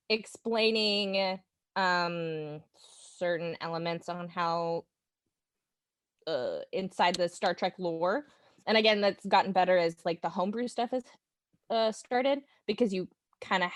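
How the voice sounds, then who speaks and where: A woman's voice: mid-range voice, no room sound. A woman from Oklahoma with an American accent, a podcast guest speaking informally and enthusiastically with some hesitation. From Oklahoma, United States. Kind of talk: casual podcast chat.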